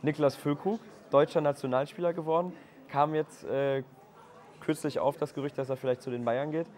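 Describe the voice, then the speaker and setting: A man's voice: fairly high pitch, fairly even intonation, moderate pace, darkish tone. A young adult man hosting a sports show, asking casual interview questions in a friendly, chatty way with tag questions.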